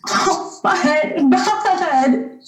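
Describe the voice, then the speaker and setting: A woman's voice: sits high, very slow. A woman playing a doting, emotional mother who gushes and fusses, in old-time radio drama style. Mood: overcome, tearful joy. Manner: gushing, fussing. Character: doting, emotional mother overjoyed at her son's return. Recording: echoey room.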